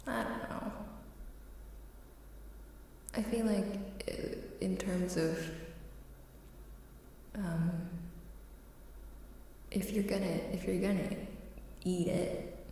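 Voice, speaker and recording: Slow, echo-drenched ASMR woman streamer. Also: mid-range voice, far from the mic. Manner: soft-spoken, often dropping into a whisper. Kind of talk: ASMR casual chat to stream viewers. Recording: heavily echoing reverberant room sound, faint noise.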